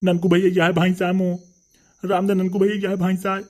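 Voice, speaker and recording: A mild male villager, played by a man in a comedy cartoon. He talks in a fairly high, monotone voice with a Bundelkhandi accent, plain-spoken and deferential to others. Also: a little fast, lightly acted. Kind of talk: comic cartoon dialogue.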